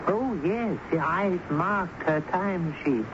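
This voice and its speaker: High-pitched gossipy night manager. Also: male voice, takes it slowly, muffled recording. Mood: gossipy, scandalized. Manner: chatty, hesitant, arch dramatic emphasis. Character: gossipy, fussy male coffee house night manager. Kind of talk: vintage radio drama acting. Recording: noisy, band-limited old radio broadcast.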